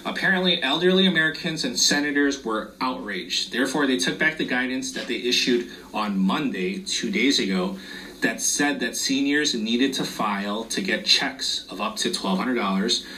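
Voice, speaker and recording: Hoarse man walking through tax forms. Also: mid-range voice, moderate pace, bright, forceful tone, far from the mic, a little hiss or hum, poor audio. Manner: plain step-by-step explaining. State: losing his voice. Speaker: tax preparer explaining loan forms. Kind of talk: casual explainer video.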